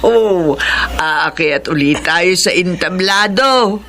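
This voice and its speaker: A woman's voice: fairly low pitch, slow, fairly bright tone. An actress playing a cheerful, doting elderly grandmother in a radio drama, speaking with affection. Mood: delighted, affectionate. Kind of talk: Filipino radio drama acting.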